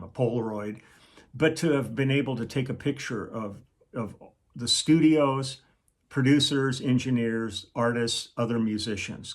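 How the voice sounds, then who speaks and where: A man's voice: medium pitch, husky. An older man, a session musician, reminiscing in a casual, rambling monologue full of restarts and quoted asides. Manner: rambling, self-interrupting, with chuckles and quoted asides. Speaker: session musician hosting his own channel.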